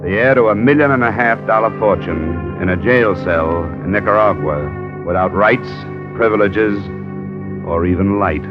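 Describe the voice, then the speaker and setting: An actor playing a terse, cool, matter-of-fact American insurance investigator in an old-time radio detective drama. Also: male voice, fairly low pitch, normal speed, over music, close to an everyday voice. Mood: grim narration. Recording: muffled vintage radio recording.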